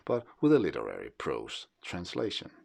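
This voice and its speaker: A man with a Danish accent, Danish being his first language, narrates in a measured, contemplative way. His voice sits extremely low and gravelly. His intonation rises and falls expressively. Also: wildly swooping pitch, a little mellow. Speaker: essayist narrator. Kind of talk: reflective scripted narration.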